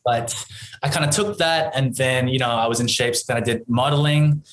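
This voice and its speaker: A man's voice: mid-range voice, brisk, fairly bright tone, rough recording. Aussie guy in his 30s, casual interview chat, rambly and restarting, bit of noise behind him. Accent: Australian. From Australia. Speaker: podcast guest, entrepreneur. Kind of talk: casual conversational storytelling.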